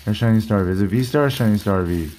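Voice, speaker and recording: Low-voiced man losing his voice. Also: rapid-fire, a little mellow, slight background noise. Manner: rambling, restarts sentences, chatty. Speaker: card collecting channel host. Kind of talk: casual unboxing vlog. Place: indoor collection room at a desk.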